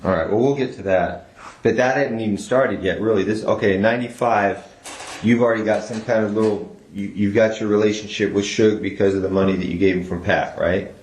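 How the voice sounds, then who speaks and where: An American man with a very low voice leads an interrogation, methodically going back over details. The sound is echoey, with some faint noise in the room. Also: steady tone, a little fast, darkish tone. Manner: methodical, steering back to the account. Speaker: police interrogator. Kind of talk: interrogation, clarifying questions. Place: indoor police interview room.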